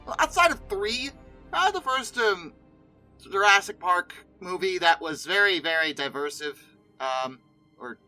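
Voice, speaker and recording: Bright, animated, conversational video host. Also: mid-range voice, normal speed, faint music behind. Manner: hesitant, self-correcting, conversational rambling. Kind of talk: casual commentary on film dinosaurs.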